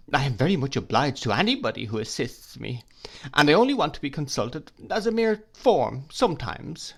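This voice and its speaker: A man voicing a timid young mother who pleads through tears in a high, fluttering voice. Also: creaky, exaggerated voice.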